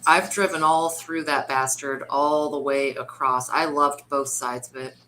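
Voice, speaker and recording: Male, bright forceful tone, informal and slangy casual chat, faint background noise. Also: a little high, steady tone, unhurried, poor audio.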